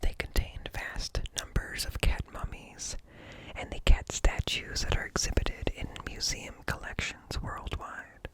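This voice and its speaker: A man reading informational text in a slow, hushed, breathy whisper for ASMR, close to the microphone. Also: low-quality recording. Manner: slow, deliberate, hushed, broken into short phrases. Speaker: ASMR host. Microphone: close ear-to-ear microphone.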